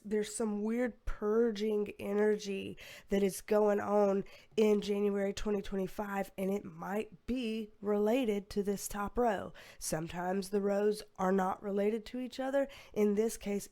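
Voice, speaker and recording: Hoarse woman reading tarot. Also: medium pitch, a little mellow. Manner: rambling, filler-heavy, with sighs. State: losing her voice, a bit hoarse. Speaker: tarot reader. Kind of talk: casual psychic reading to camera.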